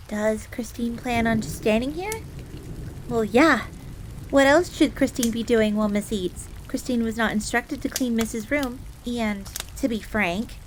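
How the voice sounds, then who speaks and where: Casting: actress for jealous, flustered fox servant girl, roleplay audio drama. Higher female voice, prim formal phrasing, faint rain noise behind. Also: close to an everyday voice, low-quality recording. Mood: haughty, reluctant. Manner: formal, refers to herself in third person. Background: rain on a surface.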